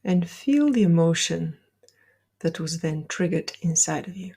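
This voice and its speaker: A woman guides a meditation in a low, dark voice with a creaky edge. She gives gentle instructions in soft, breathy phrases, unhurried and deliberate. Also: animated.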